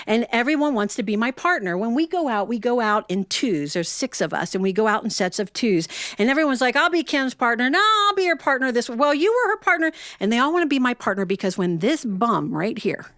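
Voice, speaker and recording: A middle-aged woman telling humorous personal stories to an audience in a folksy, animated way, acting out bits of dialogue. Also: on the high side, no room sound, studio quality. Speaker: storyteller.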